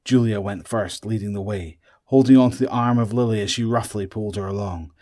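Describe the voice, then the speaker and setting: A man's voice: on the low side, a little fast, warm tone. A man reading scripted narration for a horror audio drama.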